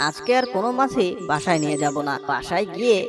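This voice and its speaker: A woman's voice: lowish voice, animated, fast talker, recorded from a distance, music in the background, natural voice. A woman voicing a friendly, clever stork in a children's cartoon, chatty and fond of explaining. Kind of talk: Bengali children's cartoon voice acting.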